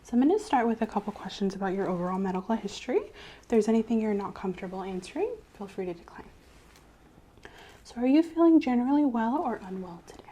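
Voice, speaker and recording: A soft-spoken woman playing a doctor in an ASMR exam roleplay, methodically asking questions in a reassuring way that often trails off quietly. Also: on the high side, animated, fast. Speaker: ASMR creator playing a doctor taking a medical history. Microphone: clip-on mic.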